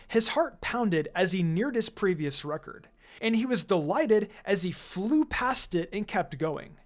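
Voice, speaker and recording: A narrator reading a scripted fan fiction story aloud. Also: mid-range voice. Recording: music behind the voice.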